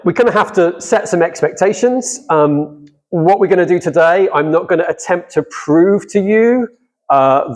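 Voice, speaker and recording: A man's voice: medium pitch, darkish tone. A middle-aged man giving a guest talk on scripture to a church audience, speaking conversationally and putting questions to his listeners. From not from Cardiff.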